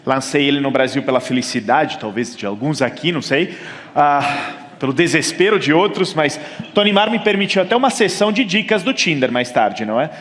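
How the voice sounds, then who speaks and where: A man's voice: on the high side, a little fast. Middle-aged italian guy doing a keynote, acts out the dialogue, big echoey hall. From Italy. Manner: animated storytelling, acting out quoted dialogue. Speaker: keynote speaker. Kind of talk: conference keynote. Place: large conference hall. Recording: long hall reverb.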